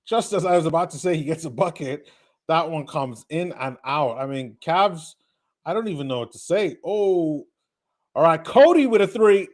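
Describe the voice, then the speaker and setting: A man with a British accent blended with North American, hosting a casual live watch-party stream, chatting with viewers and getting excited on big plays. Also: a little high, moderate pace. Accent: British mixed with North American. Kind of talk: live casual game commentary and chat.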